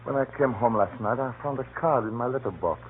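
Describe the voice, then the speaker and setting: Male actor as a hunted little man, secretive, earnest, nervous insistence, medium pitch, old radio play, narrow hissy recording. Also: brisk, close to an everyday voice. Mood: resigned dread. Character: hunted, fearful little man, a spy-hunting informant. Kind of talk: 1930s radio drama acting. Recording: muffled, noisy 1930s radio recording. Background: faint hiss and noise.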